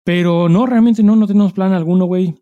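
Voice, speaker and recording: A man's voice: sits high, a little fast, dark tone, no echo, muffled recording. A Mexican man co-hosting a podcast in casual chat, swearing freely and speaking with emphasis in heavy slang. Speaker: podcast co-host.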